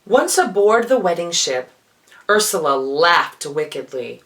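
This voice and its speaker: A man performing as a drag queen, reading a children's storybook in a theatrical, expressive way with playful asides. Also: a little high, measured pace.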